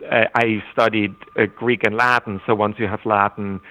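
A man whose first language is German, a literature scholar giving thoughtful, halting interview answers with frequent restarts. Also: fairly low pitch, level tone, bright, forceful tone, poor audio. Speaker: literature scholar and anthology editor.

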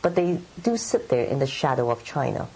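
A woman's voice: extremely low pitch, big pitch swings, a little fast, warm tone, a little hiss or hum, poor audio. A middle-aged woman writer from Hong Kong, answering interview questions in a thoughtful, meandering way with many fillers. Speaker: writer and editor.

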